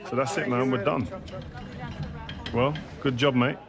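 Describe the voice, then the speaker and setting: An actor playing a friendly, chatty interviewer in a casual game cutscene. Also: male voice, medium pitch, steady tone, a little slow, crowd noise, close to an everyday voice.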